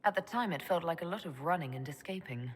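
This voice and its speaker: An actress playing a sharp, commanding elf mage in a video game, speaking in a firm, matter-of-fact way. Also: female voice, fairly low pitch, lively intonation, fast, slightly rough. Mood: dry, firm.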